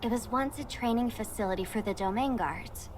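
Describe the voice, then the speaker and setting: An actress playing a helpful young woman scout in a video game, explaining things clearly and attentively. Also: on the high side, a little fast, a little hiss or hum, lightly acted. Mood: focused, businesslike. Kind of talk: scripted video game dialogue.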